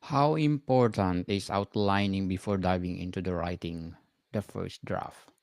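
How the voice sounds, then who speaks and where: A man's voice: low voice, unhurried, gravelly, a little mellow, no echo. A man hosting a book-review podcast, asking formal prepared questions of his guest. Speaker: podcast host. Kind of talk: scripted interview questions.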